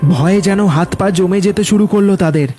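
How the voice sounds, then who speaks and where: A man's voice: sits high, normal speed. A man giving scripted, dramatic narration of a horror cartoon story. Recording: loud music behind the voice.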